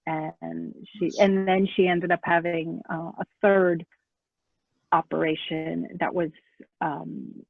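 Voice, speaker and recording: A woman in her thirties, originally from Florida, speaking softly and hesitantly with many pauses as an interview guest on a video call. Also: on the low side, flat delivery, vocal fry, dry recording. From Florida, USA. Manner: soft-spoken, hesitant, with many ums and pauses. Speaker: artist and guest. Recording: narrow phone-like call audio.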